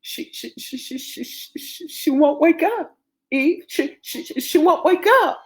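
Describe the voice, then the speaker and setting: A woman's voice: on the high side, expressive, slow pace. Woman playing a shaken, stammering father. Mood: stammering shock. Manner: stammering, repetitive. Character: shaken young father protecting his injured baby.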